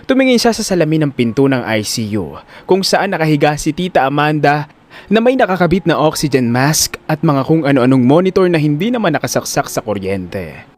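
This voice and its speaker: A man's voice: a little high, sing-song, brisk. A man narrating an audio drama in a scripted, dramatic storytelling style.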